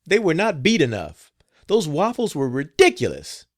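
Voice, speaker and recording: A man's voice: on the high side, huge pitch swings, moderate pace, pitched above the performer's own voice. A man voicing an irritable plantation mistress who screams and scolds a servant, pushing his voice far up into a shriek. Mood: enraged.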